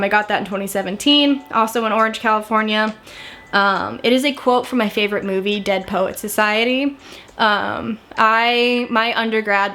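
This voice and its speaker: A woman's voice: medium pitch, a bit bright. An American woman in her twenties chatting casually to camera, rambling and often correcting herself. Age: 20s. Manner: chatty, rambling, frequent self-corrections and small laughs. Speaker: vlogger.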